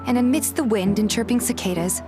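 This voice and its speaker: A woman's voice: a little high, brisk, over music, natural voice. A woman playing a feisty, suspicious young woman who narrates her own story in a game fan dub. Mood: curious, suspicious. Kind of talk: scripted first-person narration and game dialogue, fan dub.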